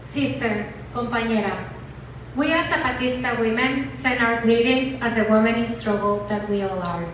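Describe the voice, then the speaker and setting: A woman's voice: fairly high pitch, moderate pace. A woman giving an earnest, declamatory dramatic reading of an activist letter at a rally. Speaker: reader at a rally event. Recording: echoey hall from far off, faint noise.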